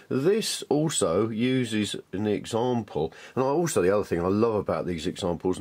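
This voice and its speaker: A man's voice: lowish voice, a little mellow. A man presenting an informal hands-on tutorial, thinking aloud in a chatty, enthusiastic way.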